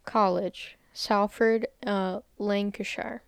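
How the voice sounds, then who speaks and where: A woman's voice: mid-range voice, fairly even intonation, takes it slowly, vocal fry, clean tone, dry recording, clean studio sound. A woman co-hosting a casual true crime podcast, chatty and laughing often, sometimes stumbling over place names. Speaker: podcast co-host.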